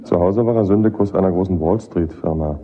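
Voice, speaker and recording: A man's voice: deep voice, brisk, highs cut off, a crowd in the background, lightly acted. A man playing a shrewd, frank lieutenant assisting the defence in a German radio play, speaking with dry candour. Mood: dry, candid.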